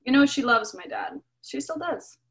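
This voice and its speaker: A woman's voice: high voice, lively intonation, normal speed, vocal fry, a bit bright, full character voice. A young woman in her twenties playing a cheerful new arrival who works as a data analyst, chatty and easygoing, on a video call. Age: 20s.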